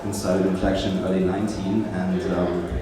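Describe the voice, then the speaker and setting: A man speaking as a venture investor on a conference panel, measured, with many "um" pauses. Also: low-pitched, flat delivery, crowd noise. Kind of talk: casual panel talk. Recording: echoey hall, distant mic, clear hum.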